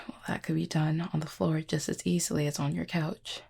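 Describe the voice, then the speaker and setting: A woman playing an injured, proud and guarded cupid in an intimate audio roleplay, speaking formally and wryly in a husky, pained voice with weary sighs. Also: deep voice, level tone, exaggerated voice. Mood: weary, polite. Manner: formal, wry wording with weary sighs and hesitant pauses. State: in pain, strained.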